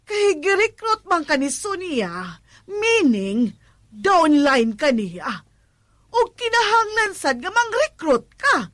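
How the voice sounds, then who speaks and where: A slow, chiding Filipino mother. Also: very high-pitched, sing-song, a bit bright, dry recording, studio quality, exaggerated voice. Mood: explaining. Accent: Cebuano Filipino. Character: skeptical, scolding mother-in-law of a struggling couple. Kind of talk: Cebuano radio drama acting.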